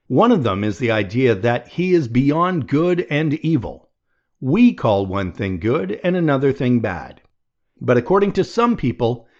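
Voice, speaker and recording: A man reading a nonfiction audiobook aloud in a measured, deliberate way. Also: medium pitch, darkish tone, lo-fi sound. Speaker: audiobook reader.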